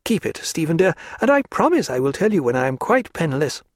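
A male audiobook narrator voicing a lively, teasing young English lady with a gushing manner. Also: a little fast, no echo, clean studio sound. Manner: gushing, teasing, high-spirited.

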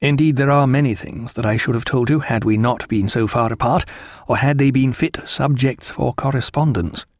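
A man playing a measured, discreet ship's doctor. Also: mid-range voice, no room sound, clean studio sound, close to an everyday voice. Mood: confiding. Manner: measured, careful, precise.

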